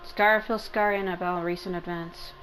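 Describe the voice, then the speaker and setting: A woman's voice: lowish voice, steady tone, normal speed, over loud music, poor audio. A woman giving casual, rambling, informal commentary as she hosts a game playthrough. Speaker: gaming video host.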